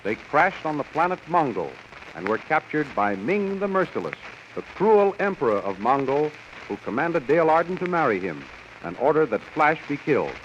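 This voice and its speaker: A man's voice: fairly high pitch, very animated, normal speed. An American man announcing and narrating a 1930s radio serial in a dramatic, declamatory style with formal enunciation. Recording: dull, noisy vintage radio recording.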